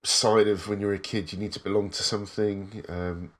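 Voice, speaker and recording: A man co-hosting a casual podcast, telling his story in a thoughtful, measured way. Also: fairly low pitch, little pitch movement, fairly bright tone. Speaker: podcast co-host. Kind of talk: casual chat.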